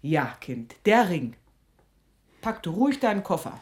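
A woman's voice: on the low side, sing-song, unhurried, a little mellow. An actress playing a suspicious, scolding middle-aged mother with an earthy, sarcastic manner in a radio drama. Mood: exasperated. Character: stern, sharp-tongued, suspicious mother of a young woman.

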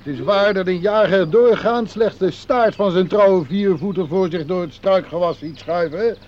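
An actor playing a pompous, stern old hunter who speaks in a pedantic, stiffly formal way in a radio play. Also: male voice, high-pitched, normal speed, a little mellow, natural voice. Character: pompous, stern veteran hunter, the oldest employee Mr Raaf. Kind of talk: Dutch radio play acting. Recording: dull lo-fi radio recording, faint noise.

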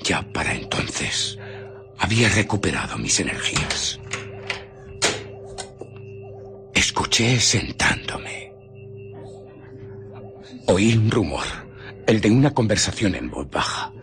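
An actor playing an embittered, furtive invisible scientist who recounts his escape in a theatrical, often hushed radio drama style. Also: deep voice, very animated, measured pace, pauses often, over music, heavily acted. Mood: tense recounting.

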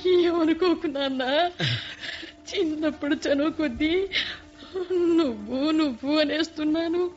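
A woman's voice: sits high, unhurried, a little mellow. An actress playing an elderly, affectionate mother who speaks tearfully in a radio play. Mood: tearful joy. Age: older. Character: elderly mother, tender and emotional. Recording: dull lo-fi radio recording.

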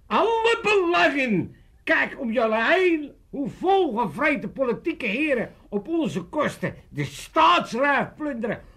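An actor playing an excitable, self-important older father in a comic radio play, rambling and stammering pompously. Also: extremely high pitch, slow, heavily acted. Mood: excited, pompous. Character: excitable self-important old Amsterdam father, dreaming of politics.

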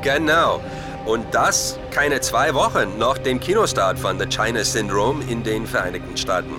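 Man acting a live news correspondent for radio drama: earnest, factual, medium pitch, music clearly underneath. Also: normal speed, lightly acted. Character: serious male field correspondent reporting a monster nuclear threat.

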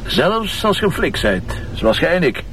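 An actor playing a wry, confident police commissioner in a crime drama, speaking colloquially with a Flemish accent. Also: male voice, a little high, sing-song, measured pace, music in the background. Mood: explaining. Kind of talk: television crime drama acting.

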